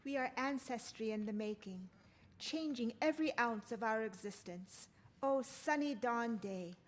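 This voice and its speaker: Solemn queen of an ancient kingdom read by a woman, lovelorn, declamatory, fairly high voice, stage play reading. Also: unhurried, lightly acted. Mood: regal. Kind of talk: play table read with poetic monologues.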